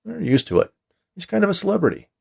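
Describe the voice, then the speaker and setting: A man's voice: high voice, wildly swooping pitch, quick pace, gravelly, husky, voice pushed up, exaggerated voice. A man voicing a matter-of-fact veteran watcher who explains the job to a new hire in a story reading. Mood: matter-of-fact explaining.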